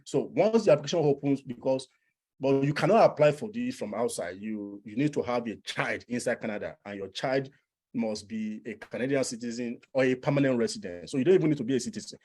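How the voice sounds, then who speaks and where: A man's voice: mid-range voice, a little fast, darkish tone. A man with a Nigerian accent explaining things as a coach to an audience, persuasive and repeating phrases for emphasis. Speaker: immigration coach and presenter. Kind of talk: informal explanatory presentation to an audience.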